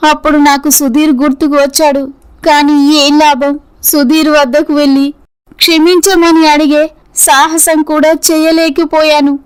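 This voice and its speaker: A woman's voice: high-pitched, poor audio. A woman voicing a remorseful young woman in an earnest, apologetic way for a scripted moral story. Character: remorseful young woman confessing her past mistake.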